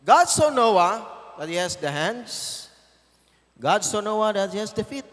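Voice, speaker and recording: Slow, high-voiced Filipino pastor. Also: male voice, lively intonation, a bit bright, in a large hall. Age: 50s. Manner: emphatic, repeats phrases for stress. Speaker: guest pastor. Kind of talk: sermon. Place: church.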